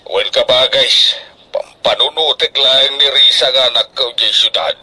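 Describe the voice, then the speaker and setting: A man's voice: medium pitch, measured pace, sharp tone, slightly rough, full character voice, rough recording. A man acting an emotional, doting older farmer father in an Ilocano radio drama, speaking emphatically with a Filipino Ilocano accent. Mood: proud, eager. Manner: emphatic, emotional, colloquial exclamations.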